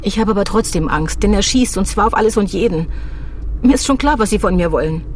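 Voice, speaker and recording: An actress in a German radio crime drama playing a young, foul-mouthed gangster's moll who is defiant and scared, talking crudely. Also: female voice, medium pitch, animated, fairly quick, darkish tone, a little hiss or hum, lightly acted. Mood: frightened. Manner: brash, crude, slangy.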